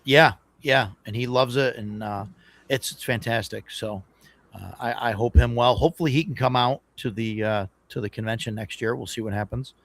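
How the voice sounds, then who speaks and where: A man's voice: medium pitch, moderate pace, vocal fry, fairly bright tone. A man co-hosting a casual podcast, agreeable and filler-heavy, his voice slightly worn from a busy weekend. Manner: agreeable, lots of "yeah" and fillers. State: voice still recovering, slightly worn.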